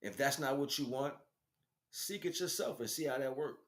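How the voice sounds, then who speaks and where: A man's voice: medium pitch, moderate pace, reverberant. An American man giving an informal spiritual monologue in streetwise slang, speaking emphatically and with repetition. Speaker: spiritual teacher and stream host.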